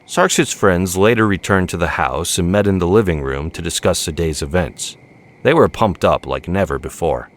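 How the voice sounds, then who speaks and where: A man's voice: sits low, normal speed. A man reading a story as a dramatic audiobook narrator. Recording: music behind the voice.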